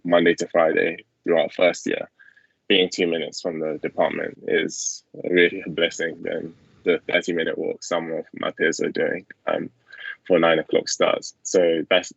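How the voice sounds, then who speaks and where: A man's voice: moderate pace, gravelly, husky, no room sound. A young man hosting a casual student Q&A over a video call from a small room at home. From England. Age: young adult. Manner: relaxed, low-key, fillers. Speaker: student host. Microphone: laptop webcam mic on video call. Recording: dull lo-fi video call audio.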